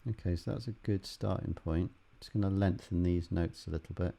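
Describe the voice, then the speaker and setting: A man teaching music production in an unscripted tutorial, haltingly thinking aloud as he works through each step. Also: low-pitched, fairly even intonation, gravelly, warm tone. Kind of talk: unscripted software tutorial, explaining while demonstrating.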